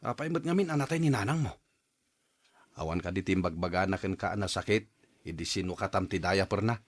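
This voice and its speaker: A man playing an excitable, questioning man of the household in a Filipino drama, with a Filipino accent. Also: sits low, a little fast. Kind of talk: Filipino radio-style drama acting.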